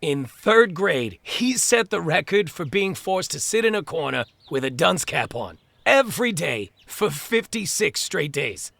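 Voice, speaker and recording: An actor playing a plain-spoken older brother from New Jersey in a mock-documentary interview, halting and repeating himself for emphasis. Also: male voice, on the high side, big pitch swings, gravelly, fairly bright tone, exaggerated voice.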